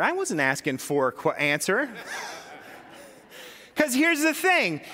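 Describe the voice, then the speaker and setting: A man's voice: high voice, fairly bright tone, long reverb tail. A man preaching a sermon as pastor, talking conversationally and putting questions to his congregation.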